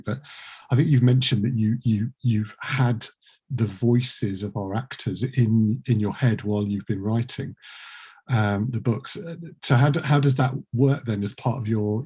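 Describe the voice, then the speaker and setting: A man hosting a casual chat as interviewer, halting and often repeating his words. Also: fairly low pitch, dark tone, breathy edge. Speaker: producer and interview host.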